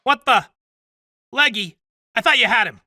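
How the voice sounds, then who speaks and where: A man's voice: very high-pitched, expressive, normal speed, sharp tone, no room sound, studio quality, heavily acted. A man playing a dim, whiny gangster henchman with slangy, ungrammatical speech in a comic noir radio drama. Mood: startled.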